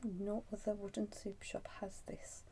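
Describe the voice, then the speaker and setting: A woman performs an ASMR roleplay as a shopkeeper, whispering softly to her customer. Her delivery is very unhurried, with frequent pauses and a breathy texture. Clear background noise and small clicks sit behind the voice. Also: mid-range voice, flat delivery. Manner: slow, pausing, unhurried. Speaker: ASMR performer playing a soup shop keeper.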